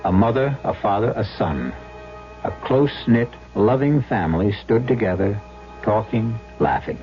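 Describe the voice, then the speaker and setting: A man hosting and narrating a radio drama in a gravelly, grave, dramatic scripted style. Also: lowish voice, expressive, normal speed, faint music behind. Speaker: host and narrator. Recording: muffled vintage radio recording.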